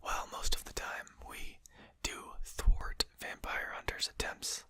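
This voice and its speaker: Whispering, courteous vampire host, acted out softly. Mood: reasonable, explaining. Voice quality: breathy unvoiced whisper. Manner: formal, polite, deliberate phrasing. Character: courteous, genteel vampire host lecturing a captured hunter. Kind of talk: whispered ASMR roleplay.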